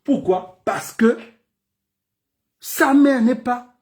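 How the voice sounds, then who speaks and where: A man's voice: very high-pitched, extremely slow, darkish tone. A man from Gabon with a Gabonese French accent, giving an impassioned political speech full of repeated phrases and rhetorical questions. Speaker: political commentator.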